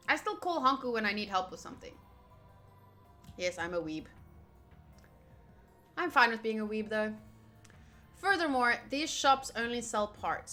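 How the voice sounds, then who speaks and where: A woman's voice: a little high, pushed and bright. A woman livestreaming a visual novel playthrough, reading game text aloud and adding playful, chatty asides. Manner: playful, chatty, self-interrupting. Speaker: streamer playing a visual novel.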